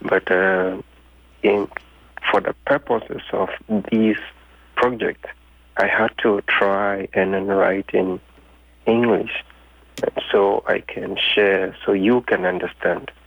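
Man, gravelly, husky, very slow, deliberate pauses, guest poet. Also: deep voice, steady tone, a bit bright, no room sound, rough recording. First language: Ghanaian languages (Twi, Ga, Dangme, Ewe). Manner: slow and deliberate, pausing often. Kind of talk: casual interview answers.

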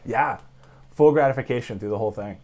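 A man hosting a casual interview, upbeat and quick to laugh and agree. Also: mid-range voice, dark tone. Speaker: podcast host. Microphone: remote call.

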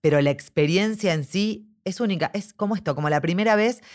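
A woman's voice: lowish voice, brisk, darkish tone. Upbeat Argentine woman in an interview. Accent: Argentine Spanish. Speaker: interviewee, immersive dome cinema organizer. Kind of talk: casual interview.

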